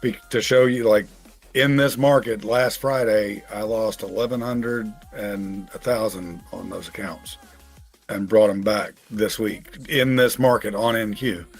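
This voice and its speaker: Husky, joking man hosting a trading stream. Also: on the low side, a little slow, soft background music. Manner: joking, rambling storyteller. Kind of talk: casual live-stream chat.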